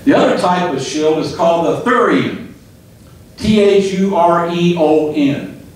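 An older man in his sixties gives a plain-spoken sermon with many restarts and repeated words. His voice sits a little high for a man. It is caught a bit off-mic, and the sound echoes strongly through a small church room. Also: far from the mic. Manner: folksy, halting, repeats words, asks "Amen?". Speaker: pastor. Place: small church hall, indoors. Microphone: handheld mic.

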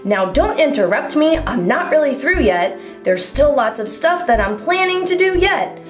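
A woman voicing an excitable, boastful young schoolboy in a storybook, theatrical and eager, declaiming in rhyme. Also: high voice, normal speed, pitched above the performer's own voice. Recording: echoey room with music underneath.

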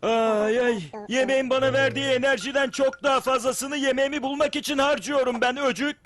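A man voicing a naive, whiny, playful cartoon cockroach sidekick in a high, childlike voice. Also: fairly even intonation, brisk, a bit bright. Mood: whiny, anxious. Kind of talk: animated cartoon dialogue. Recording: music behind the voice.

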